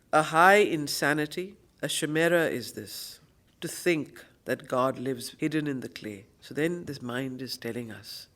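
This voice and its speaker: A woman's voice: fairly low pitch, a bit bright. Woman teaching spiritual poetry. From India. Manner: deliberate, emphatic, repeats phrases to explain them. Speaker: study circle facilitator and teacher. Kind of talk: spiritual poetry reading with explanatory commentary.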